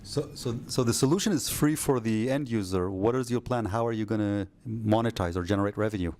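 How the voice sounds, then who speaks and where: A man interviewing a startup founder, asking brisk, upbeat questions. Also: lowish voice, a little mellow, slight background noise.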